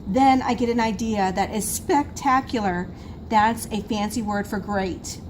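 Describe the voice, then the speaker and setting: A woman reading a children's picture book aloud in an expressive, emphatic storytelling manner. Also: mid-range voice, unhurried. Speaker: school assistant reading to pupils. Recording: noisy room recording.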